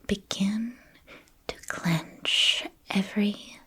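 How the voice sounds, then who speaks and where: A woman whispering a guided ASMR meditation, speaking gently and soothingly in measured phrases with long pauses. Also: medium pitch, slightly rough.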